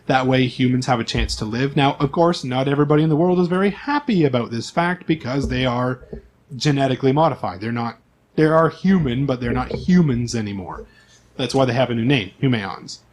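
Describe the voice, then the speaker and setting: A man's voice: medium pitch, animated, a little fast. A man speaking as game master, explaining the game's setting to his players in an informal, conversational way.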